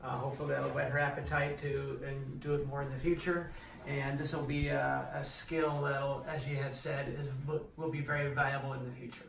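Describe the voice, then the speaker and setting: A man's voice: medium pitch, flat delivery, fairly quick, gravelly, a bit bright. A man, a parent, giving an informal testimonial speech. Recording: echoey room, distant mic, faint noise.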